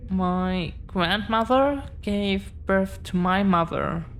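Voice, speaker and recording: Woman acting very slow-spoken, earnest dragon-blooded girlfriend. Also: medium pitch, soft background music. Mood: earnest, solemn. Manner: halting, earnest, deliberate pauses. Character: affectionate, protective dragon-blooded girlfriend confessing her secret. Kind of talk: intimate audio roleplay.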